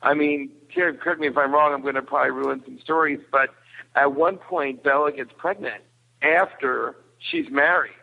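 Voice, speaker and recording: Over a thin phone-like connection, a man with a gravelly, creaky voice speaks at a middle pitch. He is a podcast guest chatting casually, often rephrasing himself as he goes. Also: moderate pace. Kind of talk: casual discussion. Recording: narrow, tinny phone-like line.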